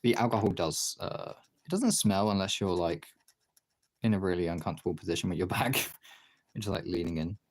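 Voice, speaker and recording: A man's voice: sits low, expressive, normal speed. A man talking in an informal, rambling way, thinking aloud as he gives a casual art demonstration as a streamer. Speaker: streamer, artist. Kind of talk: casual chat while demonstrating drawing.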